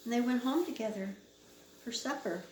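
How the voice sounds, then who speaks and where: A woman's voice: on the high side, normal speed, a little mellow. A woman, a mother, reading along casually with her young child and asking simple, prompting questions. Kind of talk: casual read-along chat with a small child. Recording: roomy, noisy amateur home recording.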